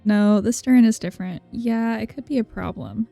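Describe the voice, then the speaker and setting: A woman's voice: a little high, normal speed, creaky, dry recording. A young woman giving casual, rambling, playful game commentary as she thinks aloud. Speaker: game streamer. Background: soft game music.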